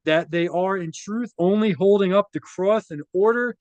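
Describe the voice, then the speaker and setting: A man's voice: high-pitched, moderate pace, no room sound, lo-fi sound. A man reading documents aloud and adding informal, conversational off-the-cuff commentary, often checking in with tag questions. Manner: conversational, often tags statements with "right?".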